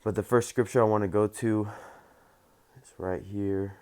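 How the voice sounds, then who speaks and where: A man giving an informal scripture lesson, reading verses aloud and explaining them with many fillers, his voice a little hoarse. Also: lowish voice, fairly even intonation, a little slow, darkish tone. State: slightly hoarse, losing his voice. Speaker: religious teacher.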